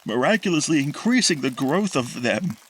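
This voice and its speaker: An actor playing a guilt-ridden, horror-struck scientist losing his mind in a 1940s-style radio drama, halting and repeating himself melodramatically. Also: a little high, very animated, slightly rough. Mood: horrified, haunted.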